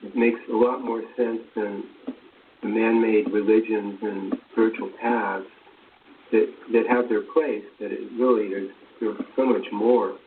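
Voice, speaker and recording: A man with a lowish voice speaks in a halting, earnest way, circling back over his words as in a spiritual talk. He sounds far from the mic on a thin phone-like line, with faint noise behind him. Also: fairly even intonation.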